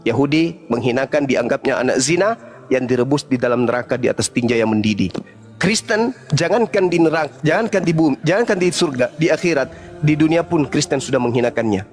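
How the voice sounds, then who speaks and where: A man debating religion as a preacher, pressing with rhetorical questions and swinging from low murmurs to loud emphasis. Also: lively intonation, fairly quick, faint music behind.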